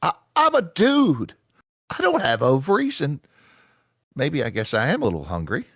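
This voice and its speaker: A man's voice: fairly high pitch, huge pitch swings, fairly bright tone, no echo, studio quality, exaggerated voice. A man playing a confused, indignant tourist who stammers hesitantly, in a script read-through. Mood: confused, defensive. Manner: halting, stammering.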